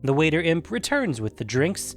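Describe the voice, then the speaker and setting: A man's voice: on the high side, sing-song, moderate pace, fairly bright tone, faint music behind. A man hosting and narrating a scripted fantasy audio story. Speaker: narrator and podcast host.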